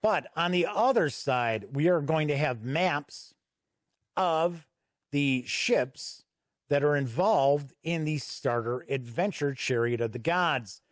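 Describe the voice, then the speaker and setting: A man's voice: fairly high pitch, lively intonation, slow pace, dry recording, clean studio sound. A man hosting an unscripted tabletop game review, talking conversationally and explaining as he goes. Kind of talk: unscripted review commentary while paging through a product.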